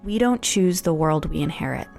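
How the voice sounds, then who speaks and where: A woman co-hosting and narrating a documentary series trailer in a steady, earnest scripted read. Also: deep voice, normal speed, gravelly, soft background music. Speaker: co-host and narrator.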